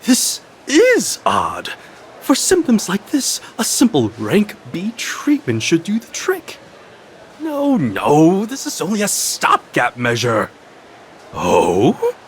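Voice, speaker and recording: An actor playing an eccentric, theatrical doctor in a video game, musing aloud in drawn-out words. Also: male voice, high voice, wildly swooping pitch, a little hiss or hum, full character voice. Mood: musing, puzzled. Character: eccentric theatrical male doctor, muttering over patient records.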